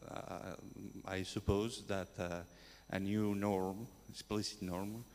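Deep, slow male questioner, hesitant, in a hall with a faint hum. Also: level tone, darkish tone. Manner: halting, apologetic, many fillers. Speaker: audience questioner. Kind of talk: question at a conference.

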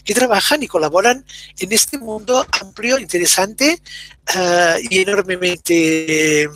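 A man's voice: high-pitched, a little slow, a bit bright. A man moderating an online event, introducing a guest in wordy speech and searching for words with fillers.

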